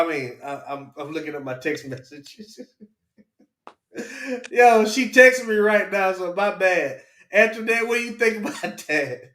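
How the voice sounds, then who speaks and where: A man's voice: sits high, fairly bright tone. A man hosting a casual livestream, chatty and jokey, poking fun at himself. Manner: jokey, self-deprecating, chatty. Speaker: live stream host. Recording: roomy, echoing livestream audio.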